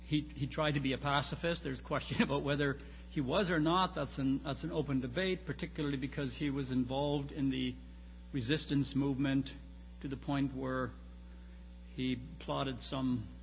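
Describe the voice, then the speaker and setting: Male academic lecturer, slightly gravelly, emphatic but halting delivery, speaking in a reverberant hall with some background noise. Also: mid-range voice, fairly even intonation, dull audio. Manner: halting, searching phrasing with repeated starts and emphatic stresses. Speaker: lecturer on church history and theology. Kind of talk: informal academic lecture with slides.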